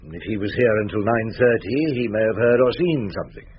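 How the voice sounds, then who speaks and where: An actor playing a calm, polite, unhurried chief inspector questioning witnesses in a radio drama. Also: male voice, on the low side, natural voice. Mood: politely inquiring. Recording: dull radio recording with noise.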